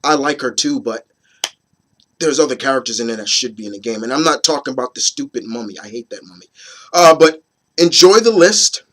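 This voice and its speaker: A hoarse man in his thirties, getting over a cold, hosting his opinion video in a rambling, casual way with many fillers and restarts. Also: medium pitch, lively intonation, brisk, fairly bright tone. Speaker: host of an opinion video series. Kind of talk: casual chat to camera.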